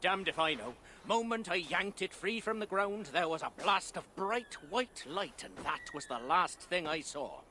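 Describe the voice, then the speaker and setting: An actor playing a cheeky, wry dwarf miner in a video game, breezy and colloquial in manner. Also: male voice, sits high, expressive, unhurried, few pauses, pushed and bright, slight background noise, exaggerated voice. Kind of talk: dramatic video game voice acting.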